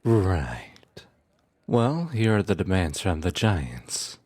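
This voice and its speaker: A man's voice: low voice, sing-song, slow pace, darkish tone, breathy edge, no echo, studio quality, full character voice. A man acting in a fantasy audio roleplay as a sly, teasing trickster god, theatrical and mock-polite. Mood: smooth, teasing.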